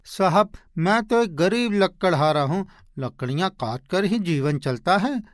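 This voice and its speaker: A man voicing a poor, humble woodcutter husband in an animated moral story, earnest and expressive. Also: high voice, measured pace, dry recording, studio quality. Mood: earnest, pleading.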